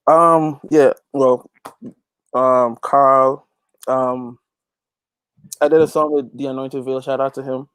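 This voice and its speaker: A man from Guyana giving a casual interview as a guest, informal and halting, repeating phrases as he thinks. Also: mid-range voice, little pitch movement, a bit bright, smooth, dry recording, rough recording. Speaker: interview guest, gospel reggae artist and youth pastor.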